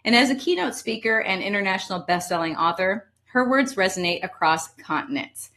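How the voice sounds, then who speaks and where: Woman host, chatty and upbeat, rambles a bit, mid pitch. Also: expressive, brisk, fairly bright tone. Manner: enthusiastic, chatty, rambling storytelling. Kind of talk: hosted interview with guest introduction.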